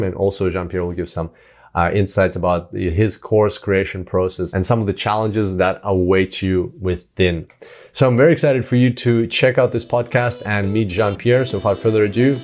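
A man's voice: sits low, a little mellow. A man hosting a podcast, introducing his guest with enthusiastic, filler-filled talk. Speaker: podcast host.

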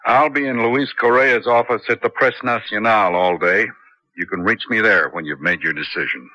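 A man's voice: lowish voice, moderate pace, a crowd in the background, close to an everyday voice. An actor playing an easygoing, savvy American male foreign correspondent in a 1970s radio drama. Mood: practical. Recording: muffled old radio, faint noise.

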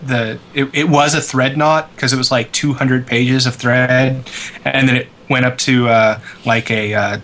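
A man with a bright voice of ordinary pitch chats loosely on a gaming podcast. There is faint ambient noise behind him. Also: fairly even intonation, unhurried. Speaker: podcast co-host. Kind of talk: casual gaming podcast chat.

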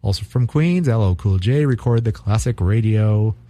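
A man co-hosting a music podcast, chatting casually and reading quiz questions aloud with some halting restarts. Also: fairly low pitch, no room sound. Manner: relaxed, halting, reads quiz cards aloud. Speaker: podcast co-host.

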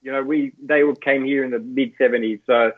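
A man's voice: mid-range voice, a little fast, narrow, tinny audio, crowd noise. A middle-aged Australian man chatting as a guest, telling stories with lots of fillers and restarts. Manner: relaxed storyteller, many fillers. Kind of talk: casual chat.

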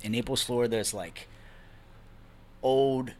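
A young man from Brazil speaks as a podcast guest, laid-back and conversational. His voice sits at an ordinary middle pitch, and he keeps dropping in casual fillers as he explains things. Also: measured pace. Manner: relaxed, conversational, many fillers like "man" and "you know". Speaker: podcast guest, real estate investor. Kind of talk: casual interview talk.

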